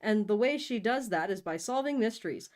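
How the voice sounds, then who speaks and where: A woman's voice: mid-range voice, lively intonation, fairly quick, a little mellow. Woman on a stream, mid-range voice, chatting and reading stuff out, playful and informal. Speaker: co-host of a book-reading livestream. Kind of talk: casual livestream chat and read-aloud.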